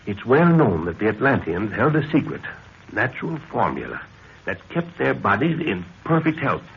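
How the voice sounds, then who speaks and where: An actor playing an older, obsessed and commanding scientist-explorer in a 1930s radio adventure serial, speaking in an emphatic, declamatory way. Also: male voice, on the low side, big pitch swings, moderate pace, vocal fry. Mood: eager, intent. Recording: dull, noisy 1930s radio recording.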